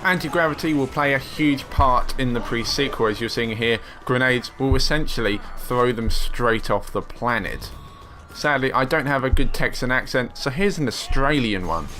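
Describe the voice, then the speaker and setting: A man narrating a game preview script in a smooth, upbeat way. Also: medium pitch, normal speed, faint music behind. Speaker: games presenter.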